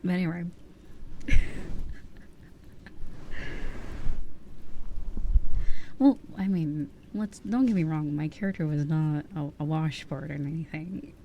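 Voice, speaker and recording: Low, mellow woman murmuring ASMR. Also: normal speed, hardly any pauses, dull audio, a little hiss or hum. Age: 20s. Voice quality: soft and breathy, often dropping into whisper. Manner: rambling, candid, swears casually. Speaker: ASMR livestream host. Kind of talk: whispered, casual ASMR chatting. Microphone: close ear-to-ear ASMR microphone.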